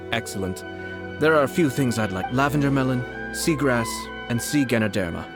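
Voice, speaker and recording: Man playing courteous game nobleman, shrewd, composed, formal measured phrasing, middle pitch, music behind. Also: animated, close to an everyday voice. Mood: calm, amused. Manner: measured, formal, politely understated. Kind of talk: video game voice acting.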